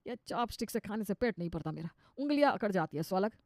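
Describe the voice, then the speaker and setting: A woman's voice: mid-range voice, animated, quick pace, a little mellow, dry recording, clean studio sound, close to an everyday voice. A woman voicing a pretentious, grumbling middle-aged father-in-law in a comic cartoon story, showy and exasperated, dropping in English words. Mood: weary grumbling. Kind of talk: cartoon comedy story dialogue.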